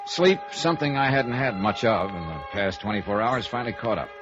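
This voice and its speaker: An actor playing a wry, hard-boiled insurance investigator in a 1950s radio noir, with clipped, dry, probing delivery and first-person narration. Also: male voice, fairly low pitch, animated, fairly quick, close to an everyday voice. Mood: dry, matter-of-fact. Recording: dull vintage radio recording with music.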